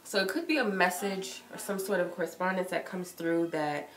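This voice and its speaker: Stuffy-nosed woman with a gravelly voice. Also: lowish voice, moderate pace. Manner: chatty and loose, many likes and ums. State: stuffy nose from a cold. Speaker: tarot reader. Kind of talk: live-streamed tarot reading, casual chat. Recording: echoey room with noise.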